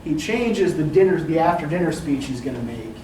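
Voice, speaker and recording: A man's voice: on the high side, expressive, fairly quick, a little mellow. Man lecturing in an echoey classroom. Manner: thoughtful, often adds "right?" and "um". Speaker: literature professor. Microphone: distant room recording. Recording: echoey classroom, distant mic, background noise.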